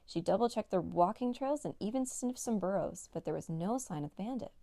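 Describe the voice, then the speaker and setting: A woman's voice: mid-range voice, lively intonation, a little fast, mellow, no echo. A woman narrating a children's read-along story as a storyteller.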